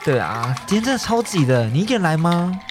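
A man's voice: on the high side, sing-song, fast, music in the background. A man playing an eager, chatty stranger who flirts shyly in a radio drama, friendly and a little hesitant. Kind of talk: romantic radio drama acting.

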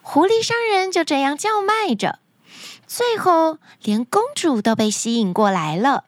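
A woman tells stories for children with dramatic expression. Her voice is high and swings widely in pitch. The recording is dry and close. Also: expressive, a little slow, no echo. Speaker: children's story podcast host. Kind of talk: animated scripted storytelling for children.

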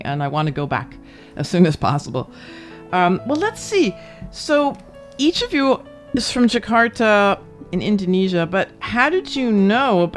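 Enthusiastic woman hosting a radio interview. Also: on the low side. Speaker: radio show host. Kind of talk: interviewing students on a radio programme. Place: studio.

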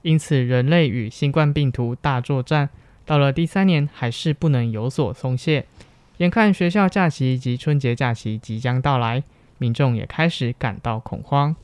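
A man's voice: medium pitch, normal speed, a little mellow, clean tone, dry recording. A young adult man with a Taiwanese Mandarin accent reading scripted student campus news.

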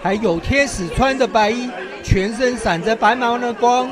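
Radio drama disciple, played by a man: eager, exclamatory, strikingly high voice, crowd murmur around. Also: moderate pace. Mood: awed. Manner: exclaiming, earnest. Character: eager, awestruck disciple of Jesus. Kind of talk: religious radio drama acting.

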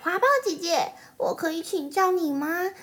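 A woman's voice: very high voice, moderate pace, soft background music. A woman voicing an angry, childlike little boy monkey, polite and whiny, in a children's story. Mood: polite, pleading.

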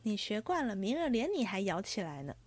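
Casting: soft-spoken young nobleman read by a woman, coaxing and soothing, dry sound. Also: mid-range voice, big pitch swings, a little fast, no echo. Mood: light teasing. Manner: soothing, pleading.

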